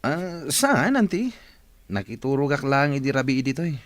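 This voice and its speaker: A man's voice: mid-range voice, sing-song, normal speed, no echo, close to an everyday voice. A man playing a dutiful, deferential young grandson in a radio drama, with an Ilocano accent. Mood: respectful.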